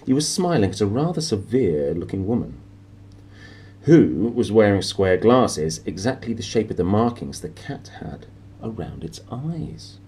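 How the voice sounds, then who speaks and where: A man reading a children's story aloud in an expressive narrating style, as a storyteller would. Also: on the low side. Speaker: storybook reader.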